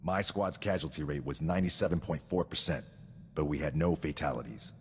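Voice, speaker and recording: An actor playing a stern, dutiful sergeant in a video game, speaking formally and precisely, coldly devoted to victory. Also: male voice, very deep voice, fairly quick, breathy edge, in a large hall. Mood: stoic. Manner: formal, precise, recites statistics. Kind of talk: scripted video game acting.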